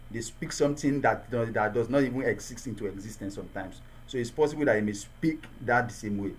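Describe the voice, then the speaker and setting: A man's voice: fairly low pitch, a little hiss or hum. A man hosting a virtual conference over a video call, earnestly telling the audience what to do. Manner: earnest, gives the audience instructions. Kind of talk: casual hosting, addressing the audience.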